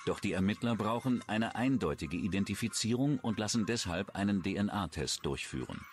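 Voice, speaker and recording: Male narrator, standard German, very low voice, true-crime documentary script, noisy ambience behind. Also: lively intonation, normal speed.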